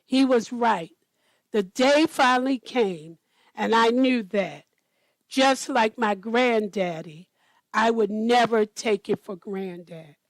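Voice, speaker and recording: A woman storyteller reading a children's picture book aloud in a deliberate manner. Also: a little high, no room sound, rough recording.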